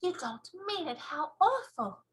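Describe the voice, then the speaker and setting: A woman voicing a polite, curious little storybook girl with a soft, light voice and proper speech. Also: sits high, big pitch swings, measured pace, pitched above the performer's own voice. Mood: amazed.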